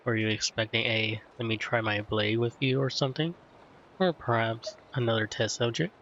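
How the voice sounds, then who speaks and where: Low-voiced male gamer, noisy recording. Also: steady tone, normal speed, highs cut off. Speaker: let's play gamer. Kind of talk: casual game commentary. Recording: loud background noise and game audio. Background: video game sound, noise.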